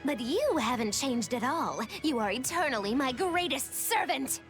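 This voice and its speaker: A theatrical young female magician, high in pitch, with mocking airs. She speaks grandly and slyly, in anime game style, over light music. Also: sing-song, normal speed. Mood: grandly teasing. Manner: grandiose, teasing, sardonic.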